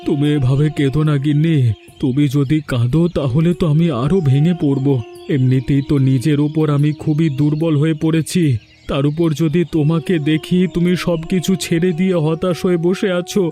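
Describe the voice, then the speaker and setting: A man voicing a mellow village fisherman. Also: a little high, steady tone, normal speed, faint music behind, natural voice. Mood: weary. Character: easygoing village fisherman, loyal friend and husband. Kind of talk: cartoon voice acting.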